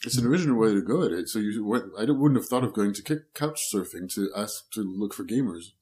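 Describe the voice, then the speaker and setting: Fast-talking male podcast interviewer. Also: lowish voice, expressive, darkish tone. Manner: brisk, asks short follow-up questions. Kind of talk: casual conversational interview.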